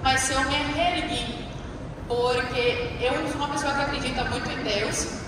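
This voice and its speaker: A woman's voice: sits high, fairly even intonation, a little slow. Woman, Cuban accent, impassioned speech, handheld mic, distant noisy hall recording. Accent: Cuban Spanish. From Cuba. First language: Spanish. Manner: emphatic, impassioned testimony. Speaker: panel speaker. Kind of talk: public speech at an event. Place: large stage hall. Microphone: handheld mic, filmed from far off. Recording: echoey hall from far off, noisy.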